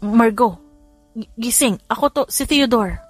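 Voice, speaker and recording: Woman voicing an earnest, soft-spoken man in love. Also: a little high, measured pace, slightly rough, no room sound. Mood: hushed. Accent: Filipino. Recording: music under the voice.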